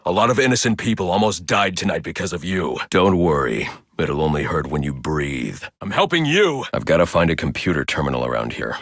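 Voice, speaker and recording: An actor playing a grim, brooding, menacing masked vigilante detective in a video game, with a gravelly voice and a stern, terse, intimidating delivery. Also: male voice, deep voice, very animated, fairly quick, hardly any pauses, fairly bright tone, no room sound, studio quality, full character voice. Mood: stern, accusing, then menacing, furious. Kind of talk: dramatic video game voice lines.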